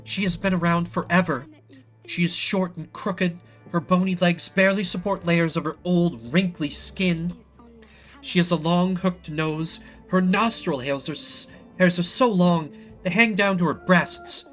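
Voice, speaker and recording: A man acts out a frightened game villager with an urgent, halting delivery. The storytelling is dramatic and full of dread, with music playing behind it. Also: sits high, steady tone, fairly bright tone. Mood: ominous storytelling. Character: frightened villager, urgently warning of an escaped witch. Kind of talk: acted narrative game dialogue.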